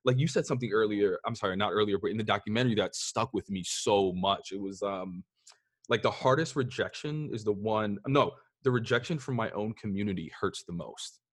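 A man's voice: lowish voice, brisk, slightly rough, no echo, clean studio sound. A man hosting a podcast in casual, personal conversation, acting out remarks that others have made. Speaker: podcast host.

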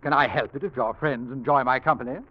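A man playing a suave, flattering British con man with sly menace in an old radio drama. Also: mid-range voice, quick pace, natural voice. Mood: silky, menacing. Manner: urbane, flattering, slyly menacing. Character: suave, smooth-talking British male swindler and ex-convict. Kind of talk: 1940s radio drama acting. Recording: dull vintage radio recording.

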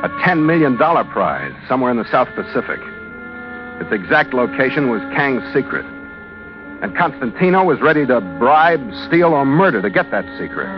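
An actor playing a tough, wisecracking sea captain in an old radio drama, with an American accent and terse, hard-boiled delivery. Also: male voice, medium pitch, natural voice. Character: tough, sardonic male sea captain, narrating his adventure. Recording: dull vintage radio recording, music behind.

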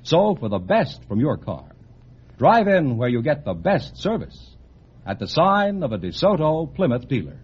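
A man's voice: a little high, sing-song, normal speed, noisy background. An American man reading a scripted 1950s radio advertisement with an emphatic sales delivery. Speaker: commercial announcer. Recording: dull vintage radio recording.

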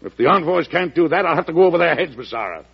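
Shrewd, commanding statesman, jovial and oratorical, gravelly, on a muffled old radio recording. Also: a little high, animated, racing, full character voice. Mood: resolute. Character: hearty, shrewd American president, middle-aged statesman. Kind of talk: old-time radio drama acting.

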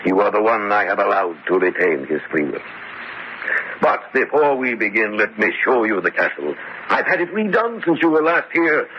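Suave, pompous sinister ageless Baron. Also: male voice, fairly low pitch, very animated, normal speed. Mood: grave, condescending. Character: ageless, courtly, sinister Baron, a giant male mentor. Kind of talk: melodramatic horror radio drama acting. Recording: dull radio recording, faint noise.

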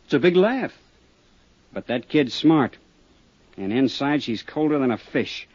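A man's voice: mid-range voice, animated, a little slow, a little mellow, breathy edge. A man playing a tough, cagey small-town roadhouse owner with an American accent in a 1950s radio drama, talking in defensive, streetwise slang. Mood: bitter, emphatic. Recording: dull 1950s radio recording.

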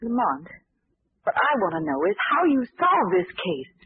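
A woman's voice: mid-range voice, wildly swooping pitch, normal speed, breathy edge, no room sound, heavily acted. An actress playing a curious young female companion in old radio drama style. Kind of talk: 1930s radio drama acting. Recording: dry tinny old radio broadcast.

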